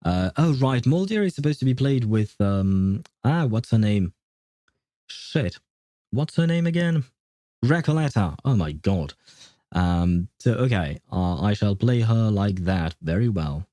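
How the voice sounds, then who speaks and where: A man's voice: lowish voice, lively intonation, unhurried, warm tone, dry recording, studio quality. A man chatting casually as a game livestreamer, rambling and hesitant as he thinks aloud.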